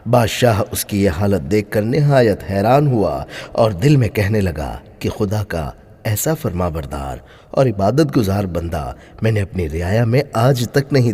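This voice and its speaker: A man narrating a scripted moral story in a dramatic, expressive way. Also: lowish voice, slightly rough. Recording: long hall-like reverb.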